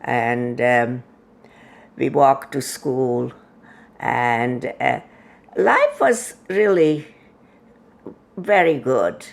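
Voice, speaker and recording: A woman in her nineties, from Hungary, telling her life story slowly in an interview, with many pauses and fillers. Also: extremely low pitch, animated, gravelly. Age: 90s. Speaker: interview guest. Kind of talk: oral history interview.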